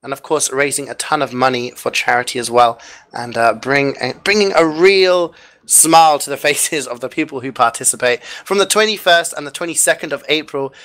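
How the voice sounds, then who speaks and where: A man's voice: mid-range voice, moderate pace, fairly bright tone. Upbeat male esports caster, chatty. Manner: chatty, upbeat, frequent fillers. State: losing his voice. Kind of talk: live esports commentary and sign-off.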